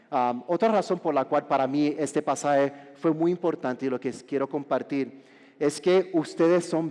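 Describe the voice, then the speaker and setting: A Puerto Rican man in his forties, a pastor preaching a sermon with joking asides and halting restarts. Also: medium pitch, darkish tone. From Puerto Rico. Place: large church hall. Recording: reverberant church hall.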